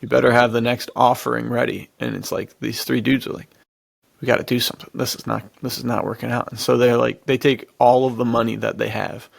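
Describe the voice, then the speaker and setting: A man's voice: medium pitch, little pitch movement, a little fast, creaky, dry recording, clean studio sound. A man co-hosting a podcast, chatting casually and enthusiastically in slang as he retells scenes. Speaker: podcast co-host.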